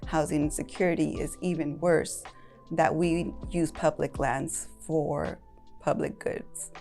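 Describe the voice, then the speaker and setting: Woman from san jose, low pitch, gravelly fry, steady explaining, faint music behind. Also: slow. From San Jose, California. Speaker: land trust board president. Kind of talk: interview talk.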